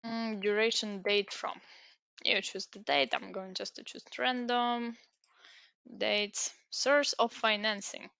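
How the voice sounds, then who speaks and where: A woman's voice: mid-range voice, steady tone, slow pace, gravelly, sharp tone, smooth, dry recording. A young woman, a native Ukrainian speaker, talking through an online application step by step, with frequent hesitations and fillers. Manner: explaining step by step with many fillers. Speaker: tutorial presenter. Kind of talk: screen-recorded application walkthrough.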